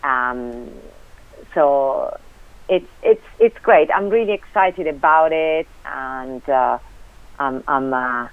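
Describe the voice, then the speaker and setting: A woman's voice: rumbling low, takes it slowly, no echo. A woman from Italy with an Italian accent, a guest on a radio interview, speaking hesitantly with many fillers and restarts. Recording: tinny phone line.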